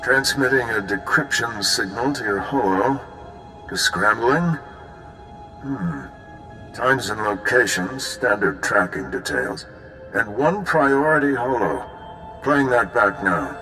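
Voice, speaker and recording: An actor playing a level-headed, weary intelligence bureau chief in a video game, measured, wry and decisive. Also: male voice, medium pitch, big pitch swings, bright, forceful tone, faint music behind, exaggerated voice, low-quality recording. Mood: focused.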